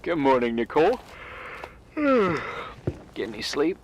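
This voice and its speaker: A man's voice: fairly high pitch, sing-song, takes it slowly, slight background noise, poor audio. An actor playing a good-natured, eager American man in a video game, hesitant and stammering as he helps out. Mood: sleepy, flustered. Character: helpful, awkward, eager man on the other end of phone.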